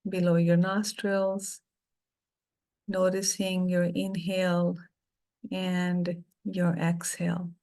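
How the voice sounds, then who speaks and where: Middle-aged lady guiding a meditation, slow and soft, a bit low pitched. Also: monotone. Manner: slow, soft and soothing, with long pauses. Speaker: meditation guide. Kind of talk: guided meditation.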